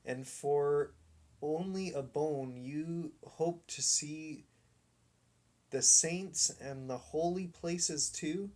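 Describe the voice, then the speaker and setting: Storybook peep-show huckster, male, sneering and short-tempered, raised pitch, drawn-out delivery, acted by a man. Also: warm tone. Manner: scornful, haggling, theatrical. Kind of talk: children's storybook read aloud.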